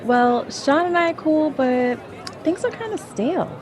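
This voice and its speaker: A woman's voice: high voice, lively intonation, unhurried. An actress in an audio drama playing a candid, chatty career woman confiding in her friends. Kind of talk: contemporary audio drama acting. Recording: crowd and outdoor urban ambience behind.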